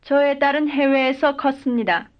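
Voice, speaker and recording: A woman's voice: sits high, fairly even intonation, moderate pace. A woman speaking full model sentences in a language drill, each one carefully and evenly delivered. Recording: dull lo-fi recording.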